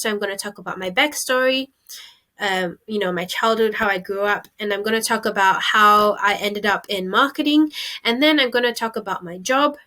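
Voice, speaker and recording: A woman's voice: medium pitch, a bit bright. A young woman in her twenties from Singapore, chatting casually to her webcam in a small room, gesturing as she talks. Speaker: vlogger. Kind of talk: casual talk to camera.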